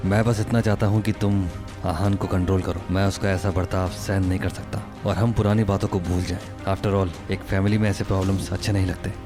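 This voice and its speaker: An actor playing a smug, spiteful rich cousin in an audio drama, menacing and mocking under a false gentleness. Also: male voice, deep voice, little pitch movement, a little fast, lightly acted. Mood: falsely conciliatory. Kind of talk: Hindi audio drama acting. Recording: dramatic music behind the voice.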